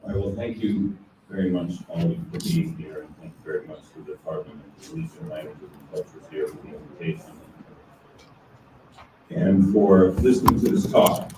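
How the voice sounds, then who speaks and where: A middle-aged man with a booming voice giving a measured, lightly ironic academic law lecture. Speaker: law professor giving a talk. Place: university lecture room. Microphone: distant room recording. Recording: echoey lecture room from far off, faint hiss.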